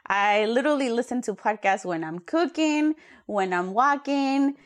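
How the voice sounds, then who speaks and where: A woman's voice: a little high, lively intonation, moderate pace. Friendly Ecuadorian-born woman podcast host. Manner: friendly, chatty, with fillers. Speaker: podcast co-host, youth worker. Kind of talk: casual podcast hosting.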